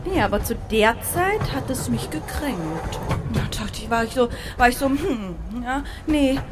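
Young woman with a high voice, chatty and animated, lots of laughing, noticeable hiss behind her. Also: unhurried. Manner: animated storytelling, laughs often, swears. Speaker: podcast co-host. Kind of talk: casual chat. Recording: clear background noise.

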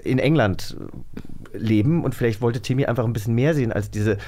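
A man's voice: mid-range voice, fairly quick, mellow, a little hiss or hum. A man co-hosting in casual, playful chat, often repeating words as he improvises. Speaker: co-host.

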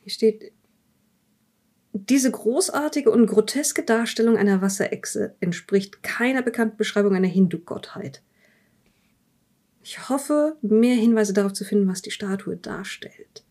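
A woman acting as a curious female investigator in an audio drama, with a soft, mellow voice. She speaks in a measured, thoughtful way, whether reading or questioning. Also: mid-range voice, close to an everyday voice. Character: curious, probing female interviewer examining a dead man's journal. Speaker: interviewer in an audio-drama role-play. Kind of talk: improvised audio drama dialogue with journal reading.

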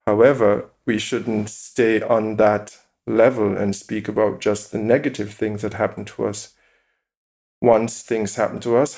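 A man as a podcast guest, calmly explaining his view with examples and rhetorical questions. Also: on the low side, creaky. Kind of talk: interview conversation.

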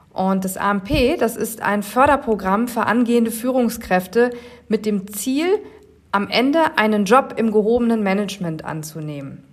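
Forties woman from germany, podcast host, clear measured way of talking. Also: medium pitch. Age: 40s. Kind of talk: scripted podcast narration.

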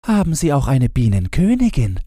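A man's voice: mid-range voice, huge pitch swings, moderate pace, mellow, breathy edge, no room sound, clean studio sound, pitched above the performer's own voice. A man voicing a curious, bold little child in a fairy tale, with a naive, eager manner.

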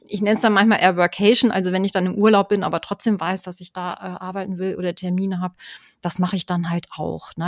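A woman's voice: fairly low pitch, dry recording, clean studio sound. A middle-aged woman talking in an interview as a guest, chatty, with many fillers and tag questions. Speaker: guest, online course creator. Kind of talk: conversational interview.

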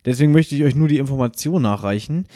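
A man, a podcast host and editor, calmly giving a casual explanation alone on the mic. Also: medium pitch, fast talker, no echo, clean studio sound. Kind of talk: casual spoken explanatory insert.

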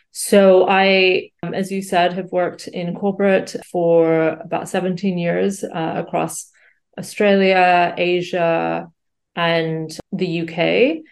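Lowish gravelly woman in her 40s, from singapore, chatting as a podcast guest over a call, lots of um and you know. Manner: reflective, with frequent fillers and restarts. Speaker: guest, life and career coach. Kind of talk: conversational storytelling.

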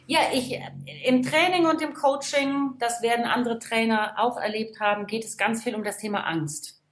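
A woman's voice: on the high side, fairly even intonation, normal speed, a little hiss or hum. A woman from the Rhineland, a trainer and coach, explaining her views emphatically and checking in with the listener. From Rhineland, Germany. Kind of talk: conversational expert talk. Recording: echoey room.

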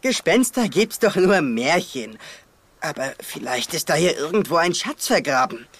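A man playing a sturdy, easygoing, adventurous boy toy figure with a husky voice in a children's radio play. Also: on the high side, very animated, moderate pace, fairly bright tone, exaggerated voice. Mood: easygoing, curious.

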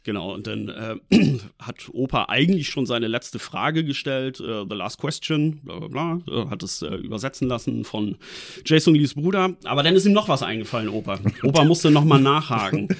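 A man's voice: medium pitch, expressive, a little fast, vocal fry. Man, mid-range voice, sports reporter, colloquial, jokey storytelling, casual chat.

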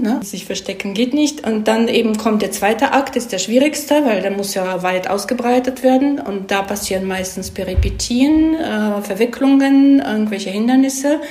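A woman being interviewed as a screenwriter, explaining conversationally, haltingly and with digressions, often tagging questions onto her phrases. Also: medium pitch, warm tone. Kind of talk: conversational explanation of storytelling craft. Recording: echoey room.

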